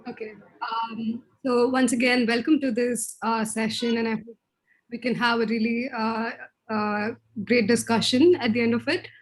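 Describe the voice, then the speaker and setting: A woman researcher giving an online presentation, explaining her method while often clearing a scratchy throat. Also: fairly high pitch, steady tone, normal speed.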